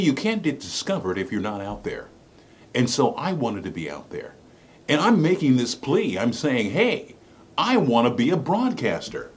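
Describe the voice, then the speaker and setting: A middle-aged man with a smooth broadcaster's voice, telling his personal story in an earnest, emphatic way that repeats phrases for effect. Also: mid-range voice. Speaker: former radio broadcaster. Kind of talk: personal story and plea to camera.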